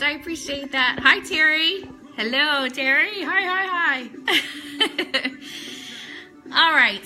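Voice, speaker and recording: A woman's voice: sits high, pushed and bright. A woman chatting casually and enthusiastically as host of a fan talk show livestream, greeting viewers as she goes. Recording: music playing in the background. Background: Christmas music.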